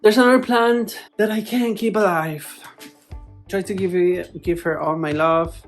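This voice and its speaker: A woman's voice: on the low side, measured pace. A woman with a foreign accent in English, chatting casually and a little hesitantly in a playful, informal vlog style. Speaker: plant vlogger. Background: music.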